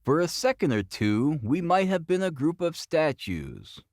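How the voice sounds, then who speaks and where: A man reading a classic novel as scripted narration, in a formal, expressive storytelling manner. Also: fairly high pitch, unhurried, no room sound, clean studio sound. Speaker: audiobook narrator.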